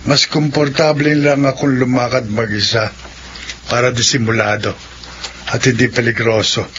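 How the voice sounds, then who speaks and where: A Filipino man acting in a horror radio drama as a cautious creature of darkness, measured and secretive. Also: medium pitch, little pitch movement, fairly bright tone, natural voice. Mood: guarded, cautious. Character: guarded, cautious male night predator of darkness. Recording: dull lo-fi audio, faint background noise.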